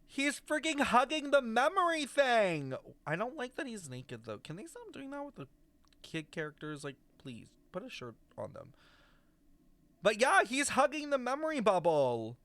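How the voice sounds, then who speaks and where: A young man streaming a video game with casual live commentary, expressive, slangy and swearing freely. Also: very high voice, normal speed, no echo.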